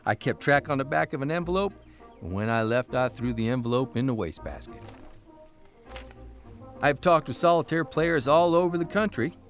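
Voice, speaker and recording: A man's voice: mid-range voice, lively intonation, normal speed, faint music behind, close to an everyday voice. An actor playing a wry, folksy newspaper columnist who tells his own stories in a plain-spoken, conversational way for an audio drama. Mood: rueful, dry. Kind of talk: first-person storytelling in audio drama.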